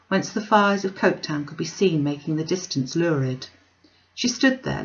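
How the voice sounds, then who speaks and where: A woman with a somewhat low voice reads literary prose as an audiobook narrator. The recording is a little dull and lo-fi. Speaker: audiobook reader.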